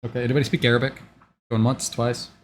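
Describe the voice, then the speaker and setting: A man's voice: mid-range voice, little pitch movement, fast talker. A man narrating a tabletop game as game master in a loose, informal, improvised way.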